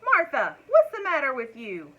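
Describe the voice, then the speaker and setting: A woman voicing a hot-tempered male farmer who yells and grumbles gruffly. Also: medium pitch, moderate pace, highs cut off, close to an everyday voice. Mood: angry. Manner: yelling, gruff.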